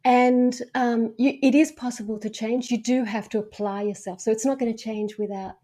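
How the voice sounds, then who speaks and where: A woman's voice: a little high, steady tone, normal speed, mellow. Frank Australian woman, meditation coach. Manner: frank and earnest, thinking aloud. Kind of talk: podcast interview.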